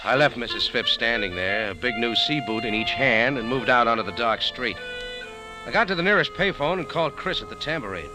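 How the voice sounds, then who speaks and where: A hard-boiled American man from a 1940s radio mystery, played by an actor. He is laconic, wry and deadpan at a medium pitch, with prominent music behind him. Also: fairly quick, natural voice, low-quality recording. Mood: cool, narrating. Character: cool, wry American café owner turned sleuth. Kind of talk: 1940s radio drama narration and dialogue.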